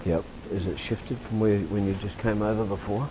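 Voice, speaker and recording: A soft-spoken, deep-voiced Australian man working as a hypnotist, calmly giving short, simple instructions and questions to a client. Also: normal speed, noisy background. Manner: calm, directive, short simple phrases. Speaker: hypnotist practitioner.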